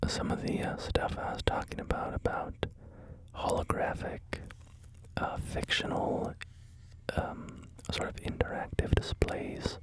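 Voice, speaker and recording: A man whispering through a relaxed ASMR gaming video, rambling and joking about himself with many fillers. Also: unhurried. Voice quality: soft unvoiced whisper. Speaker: ASMR creator.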